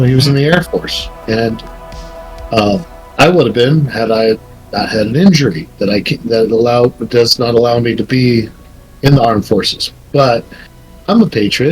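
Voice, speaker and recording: A man's voice: medium pitch, darkish tone, rough recording. A middle-aged man from the United States chatting casually on a family podcast, earnest and rambling as he shares his opinions. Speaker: father and co-host.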